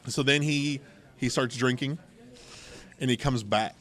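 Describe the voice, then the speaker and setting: A man's voice: medium pitch, unhurried, a bit bright. Middle-aged American man telling casual stories. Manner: conversational, digressive, frequent asides. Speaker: podcast host. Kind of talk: casual storytelling and banter. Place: local game store.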